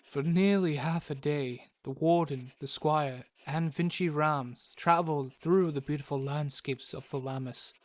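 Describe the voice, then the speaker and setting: A man playing a measured, literary storyteller, reading scripted narration for a fantasy audio drama. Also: fairly high pitch, lightly acted. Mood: measured, wistful. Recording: music under the voice.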